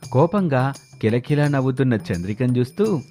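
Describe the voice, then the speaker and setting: A man's voice: medium pitch, big pitch swings, normal speed, warm tone. A man narrating a scripted story as its storyteller. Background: background music.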